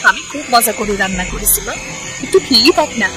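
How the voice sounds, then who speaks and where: A woman's voice: fairly high pitch, expressive, quick pace, natural voice. A woman voicing a worried, long-suffering village mother in a cartoon, fretful and plaintive. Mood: coaxing. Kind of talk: cartoon voice acting. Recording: music under the voice.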